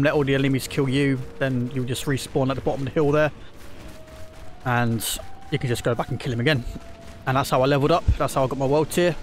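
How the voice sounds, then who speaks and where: A man's voice: mid-range voice, a little hiss or hum. A man giving casual let's-play commentary, rambling with lots of ums and restarts, recovering from a throat infection. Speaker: gaming YouTuber.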